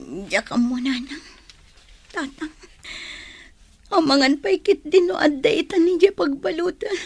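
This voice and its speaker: A woman's voice: high voice, expressive, measured pace, vocal fry, darkish tone, dry recording, heavily acted. An actress playing an anguished, loyal young wife and mother in a radio drama, with a pleading, tearful delivery. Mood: distressed, bitter. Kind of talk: Ilocano radio drama acting.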